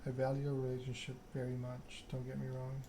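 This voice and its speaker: A man plays a proud, scheming game vampire, delivering a self-important monologue in ASMR style. The pitch is middling and very level, and clear noise sits behind the voice. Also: completely flat delivery, brisk, close to an everyday voice. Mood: smooth, persuasive. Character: proud, scheming vampire aristocrat telling their story. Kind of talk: ASMR read-aloud of game dialogue.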